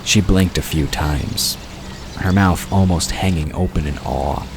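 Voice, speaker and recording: A man's voice: low-pitched, unhurried. A man reading scripted fiction as the narrator of an audio drama. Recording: clear ambient noise under voice.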